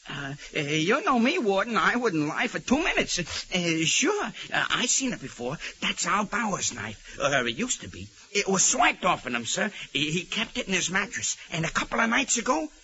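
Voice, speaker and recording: Shifty, high, sharp-voiced convict informer. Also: male voice, very animated, moderate pace, few pauses, muffled recording, heavily acted. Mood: nervous, evasive. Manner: hesitant, stammering, feigned innocence. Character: shifty, nervous male convict informer, slangy and servile. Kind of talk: 1940s radio acting. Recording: band-limited, noisy vintage 1940s radio recording.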